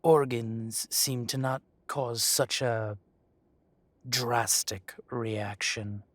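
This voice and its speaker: A man plays a male dragon shifter who runs the mafia, in an audio roleplay. His voice is close and dry with a husky rasp, and he talks slowly. He is mock-polite and possessive, taunting the listener with quiet threat. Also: mid-range voice, no echo. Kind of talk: scripted roleplay audio drama, addressing the listener.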